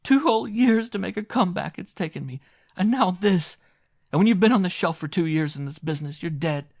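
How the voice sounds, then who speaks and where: A man's voice: mid-range voice, expressive, fast, gravelly, no echo, studio quality, voice pushed up. A man voicing a faded, self-pitying middle-aged actress who talks in melodramatic wails. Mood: wailing. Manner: wailing, melodramatic.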